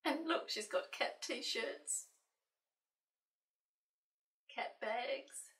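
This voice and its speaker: A woman reading a children's picture book aloud in an expressive way, with playful, dramatic asides to young listeners. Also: high-pitched, extremely slow. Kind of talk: expressive children's storybook narration. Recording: roomy, slightly distant microphone.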